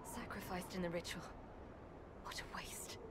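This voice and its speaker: A woman's voice: fairly low pitch, a little slow, in a whisper, lightly acted. An actress playing a tough, world-weary woman who speaks bluntly and somberly in a fantasy game. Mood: grim, bitter. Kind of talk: serious fantasy game acting.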